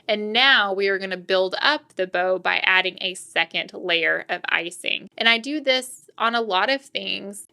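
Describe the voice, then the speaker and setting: A woman with a very bright, forward voice of medium pitch walks through a craft tutorial step by step. She talks informally and drops in asides as she goes. Her voice is a little scratchy from talking. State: voice getting slightly scratchy. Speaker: cookie decorating tutorial host.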